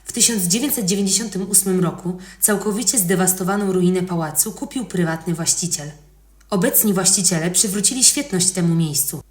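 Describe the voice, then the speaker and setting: A woman's voice: fairly low pitch, fairly quick, darkish tone. A woman reading scripted historical narration as a podcast narrator. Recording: roomy, echoing voice recording.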